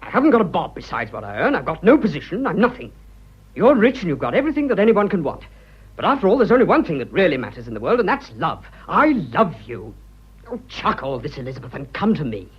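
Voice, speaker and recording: Young Englishman, lovestruck, awkward, highish husky voice, swooping intonation, clipped period radio drama, muffled recording. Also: wildly swooping pitch, exaggerated voice. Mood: agitated, lovestruck. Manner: halting, self-conscious, blurting, clipped old-fashioned speech. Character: earnest, awkward young businessman hopelessly in love.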